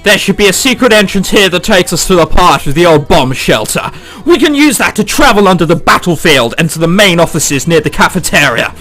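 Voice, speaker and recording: Very animated, high-voiced man over music. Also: a little fast. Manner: incredulous, animated, sweary. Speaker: gamer reading and reacting to a visual novel. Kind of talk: let's play commentary, reading aloud with running jokes. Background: game background music.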